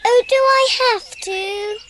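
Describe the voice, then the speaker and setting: A woman's voice: very high-pitched, slow pace, background noise. A woman playing a whiny, easily frightened little sister with an English accent in a dramatised audiobook. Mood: whining. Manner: petulant, dramatic, plaintive.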